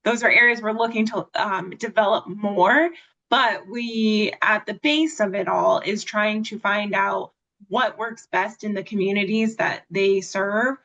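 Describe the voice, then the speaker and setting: A woman moderates a video call in an organized way, guiding the conversation. Her pitch sits in a middle range. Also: a little slow, gravelly, fairly bright tone, dull audio. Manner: organized, facilitating.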